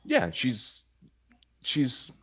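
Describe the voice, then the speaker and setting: A man's voice: mid-range voice, wildly swooping pitch, drawn-out words, fairly bright tone, dry recording. An American man giving casual, opinionated commentary as a panelist, with an American accent. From United States.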